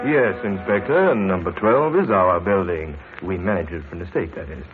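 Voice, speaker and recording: A man's voice: low voice, lively intonation, moderate pace, soft background music. An actor playing a businesslike rental agent who obligingly reads details from his records, in a tinny old radio drama recording.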